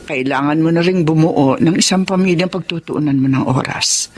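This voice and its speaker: A woman's voice: low voice, moderate pace, a little hiss or hum, close to an everyday voice. A woman with a Filipino accent playing a blunt but loving mother of a grown daughter, emphatic, in a radio drama. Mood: contrite, tender.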